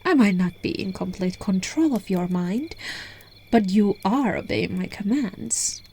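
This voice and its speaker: Woman playing a slow, seductive, controlling witch. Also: expressive, mellow, husky. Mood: amused, menacing. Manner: slow, coaxing, breaking into whispers and giggles. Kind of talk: ASMR roleplay. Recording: phone microphone recording, low quality.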